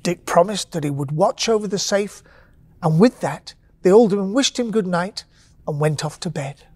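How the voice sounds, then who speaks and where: A woman narrating a bedtime story with gentle, expressive pacing and dramatic pauses. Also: fairly low pitch, breathy edge, no echo.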